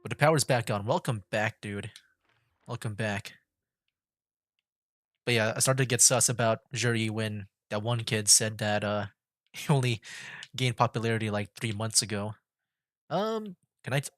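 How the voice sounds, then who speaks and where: A man livestreaming a game with casual commentary, thinking aloud in a loose, halting way. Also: lowish voice, a bit bright, dry recording, studio quality. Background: game music.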